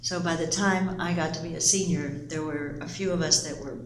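An older woman who grew up in the Panama Canal Zone, recalling her school years in an oral history interview in a measured, reflective way. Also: low voice, steady tone, noisy background. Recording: echoey room with faint ambience.

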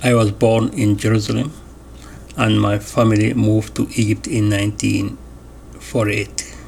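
Slow, gravelly male interview reader. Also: lowish voice, little pitch movement, a little mellow, slight background noise. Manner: measured, announces each question and answer. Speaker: voice-over reader of an interview transcript. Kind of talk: reading a translated interview aloud.

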